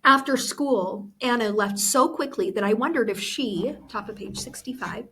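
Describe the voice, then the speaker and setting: A woman's voice: a little high, fairly bright tone, husky. A woman teacher reading a novel aloud expressively to students. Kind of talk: expressive book read-aloud.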